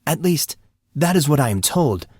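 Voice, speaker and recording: A man's voice: fairly high pitch, animated, normal speed, a little mellow, husky, dry recording, pitched above the performer's own voice. Guy doing a polite formal school staff man, amused, precise, explains things patiently, audiobook drama, dry close sound. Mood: dryly amused. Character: amused, courteous, formal adult male school employee.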